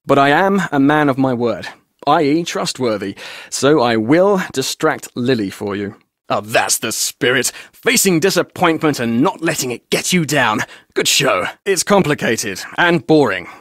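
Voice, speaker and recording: A man's voice: medium pitch, sing-song, measured pace, a bit bright, no echo, studio quality. An actor playing a chatty, roguish male tour guide in a video game, with a glib, jaunty, theatrical way of telling things. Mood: glib, scheming. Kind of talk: video game character dialogue.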